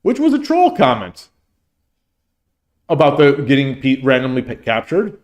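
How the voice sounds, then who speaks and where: Man, livestream host, casual rambling chat, lots of restarts, mid-pitched voice. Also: huge pitch swings, a little slow. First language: English. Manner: rambling, many restarts and fillers. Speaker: streamer and host.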